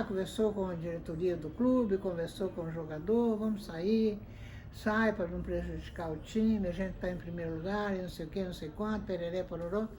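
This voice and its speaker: Older Brazilian woman, interviewee, mid-pitched, animated storyteller, faint background noise. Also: poor audio. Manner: animated, acts out both sides of quoted exchanges. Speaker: interviewee, former football referee. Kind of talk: conversational storytelling.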